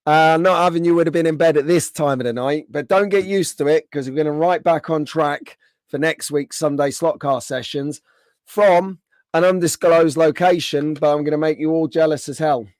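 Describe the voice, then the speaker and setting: A man hosting a casual live stream, greeting viewers by name, his voice slightly hoarse from being under the weather. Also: on the high side, normal speed, a little mellow, no room sound.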